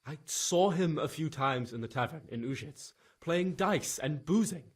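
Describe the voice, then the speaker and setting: A man playing a frightened young stable lad in a game cutscene, stammering and halting, with a husky voice. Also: a little high, expressive. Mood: defensive, nervous. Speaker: video game character, witness being questioned. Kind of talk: dramatic game cutscene acting.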